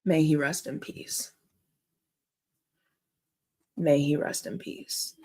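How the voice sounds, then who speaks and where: A woman from Minnesota hosting her own show, speaking with deep emotion in halting, earnest commentary. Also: fairly even intonation, a little mellow. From Minnesota, USA. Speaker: host. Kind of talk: emotional personal commentary vlog.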